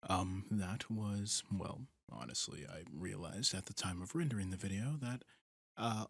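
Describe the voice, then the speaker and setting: A man rattles through a casual outro to his listeners, rushing and apologizing with plenty of fillers. His voice sits low and gravelly, with a husky edge. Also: dry recording, clean studio sound. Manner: rapid, apologetic rambling with fillers. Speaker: ASMR audio creator.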